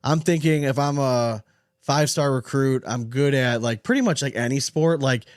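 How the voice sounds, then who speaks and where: A man's voice: medium pitch, normal speed, no room sound. Wry man in dry, casual sports chat.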